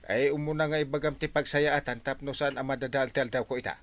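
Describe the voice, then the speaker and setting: An actor playing a flustered, slow-witted village man with a hesitant, stammering delivery in a radio comedy. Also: medium pitch, fairly even intonation, a bit bright, lightly acted. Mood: flustered, puzzled. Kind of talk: comedic radio drama acting.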